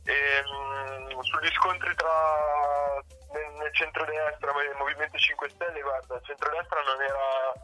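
A man giving casual political commentary, halting and often repeating words as he goes. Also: a little high, little pitch movement, pushed and bright. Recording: muffled phone-like line, music underneath.

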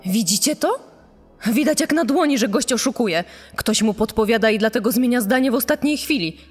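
A woman's voice: a little high, expressive, fairly quick, slightly rough. A woman playing an alarmed, suspicious TV floor manager, urgent and demanding, in a true-crime podcast reenactment. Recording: long reverb, music under the voice.

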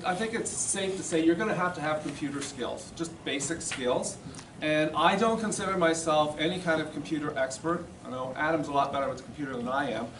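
A man's voice: on the high side, fairly bright tone. A middle-aged man giving a plain-spoken, explanatory demonstration talk in an informal way. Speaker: presenter at a society meeting. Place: classroom or lecture room, indoors. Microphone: far camera. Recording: roomy, distant, noisy camera recording.

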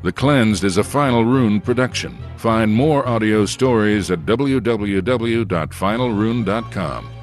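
A man reading scripted production credits as an announcer in a measured, formal way. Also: lowish voice. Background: faint music.

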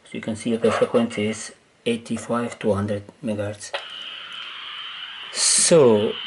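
A man who is not a native English speaker gives a casual, unscripted hands-on demonstration, hesitantly thinking aloud in halting phrases. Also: mid-range voice. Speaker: amateur radio hobbyist demonstrating gear. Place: indoors at a wooden table. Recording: clear background noise on handheld camera audio.

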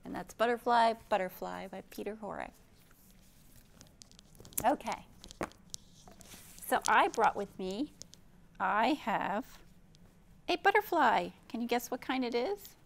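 A woman in her fifties leads a storytime for small children in an unhurried, fairly high voice with a creaky edge. She is gentle and expressive, keeping phrases simple and putting questions to her young listeners. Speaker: children's librarian hosting storytime. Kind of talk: read-aloud storytime for young children, with chat and song. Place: indoor library program room.

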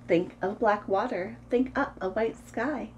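A woman in her thirties reading a picture book aloud to preschoolers in an expressive, sing-song way, as host of a story time. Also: a little high, unhurried, lo-fi sound, slight background noise. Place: small room at home, indoors.

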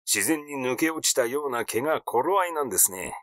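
A man's voice: medium pitch, expressive, a little fast, fairly bright tone, no echo, clean studio sound, lightly acted. A man voicing a glib, cheeky, eccentric male character in an audiobook, brash and colloquial in a teasing way. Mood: wheedling.

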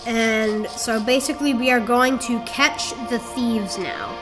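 A woman's voice: a little high, measured pace. A woman giving a casual game walkthrough, talking the viewer through steps in a rambling, self-correcting way. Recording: game music playing behind the voice.

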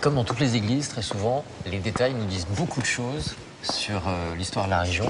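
A man's voice: fairly low pitch, lively intonation, moderate pace, background noise. A man, presenting on location and asking questions in a wry, teasing way. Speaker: travel documentary presenter. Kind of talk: conversational interviewing on location. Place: on location in a stone abbey and outdoors.

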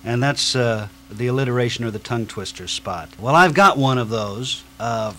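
A man's voice: mid-range voice, normal speed, bright, forceful tone. A man hosting a radio show with conversational interview banter. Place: small radio studio. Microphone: studio microphone on boom. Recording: old studio footage, audible hum.

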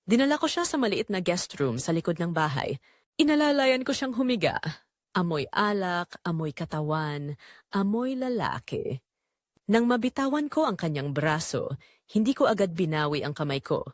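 A woman playing a yearning married Filipina, lively intonation. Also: fairly low pitch, moderate pace, dry recording, studio quality. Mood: tempted, secretive. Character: yearning married mother confessing a forbidden attraction. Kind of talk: first-person romance audio drama narration.

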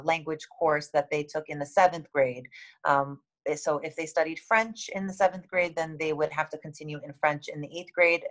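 A woman in her thirties with a halting, matter-of-fact manner, reading and answering questions as a school administrator on a video call. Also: deep voice. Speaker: school administrator hosting a town hall. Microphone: video call, computer microphone.

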